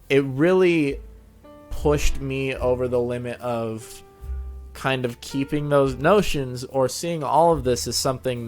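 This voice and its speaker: A man with a medium-pitched voice hosts a casual interview. He builds long, wordy sentences and corrects himself along the way. Soft guitar music plays underneath. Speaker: show host, interviewer.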